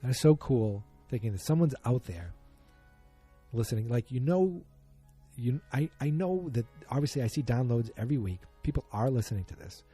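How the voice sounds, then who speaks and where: A man's voice: mid-range voice, animated, moderate pace, warm tone, slightly rough, soft background music. A man hosting a solo podcast, chatting casually in a rambling, self-deprecating, joking way. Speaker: podcast host.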